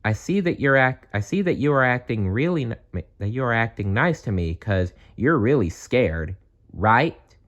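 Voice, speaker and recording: A man's voice: medium pitch, lively intonation, normal speed, a bit bright, voice pushed up, lightly acted. A man voicing a big schoolboy bully in a storybook, challenging and grudging in manner. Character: big, older schoolboy bully, gruffly suspicious, softening. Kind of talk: storybook read-aloud.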